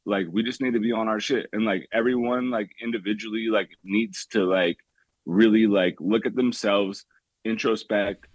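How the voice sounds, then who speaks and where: Young man, caller, low-pitched, dry close sound, rambling, says "like" constantly. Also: fairly even intonation, normal speed, no room sound. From San Francisco Bay Area. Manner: rambling, heavy use of "like", many restarts. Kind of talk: casual call-in chat.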